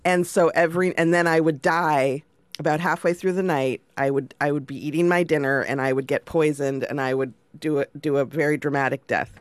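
A woman's voice: low-pitched, steady tone, fairly quick, no echo. A woman in her forties co-hosting a casual talk show, telling a funny story and laughing often. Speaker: co-host. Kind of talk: casual chat.

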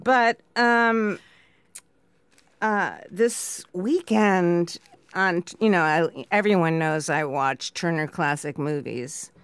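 A woman's voice: fairly low pitch, takes it slowly, smooth, dry recording. A woman hosting a casual talk show, wry and chatty, wandering from one thought to the next. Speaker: host. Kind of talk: casual chat.

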